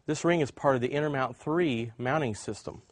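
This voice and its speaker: A man's voice: medium pitch, fairly quick, dry recording, studio quality. A man presenting an instructional product demonstration, explaining things step by step as a demonstrator.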